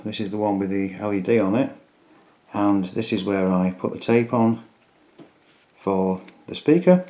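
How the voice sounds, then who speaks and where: A man with a fairly low voice and a soft, dark, relaxed tone. He narrates a step-by-step DIY tutorial casually, in an informal and plain-spoken way. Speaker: DIY electronics video host.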